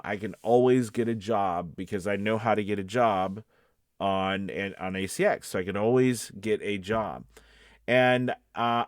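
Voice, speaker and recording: A man's voice: on the low side, no room sound, clean studio sound. A man giving informal coaching as a video host, explaining things conversationally and often checking in with the listener. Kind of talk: informal instructional talk to camera.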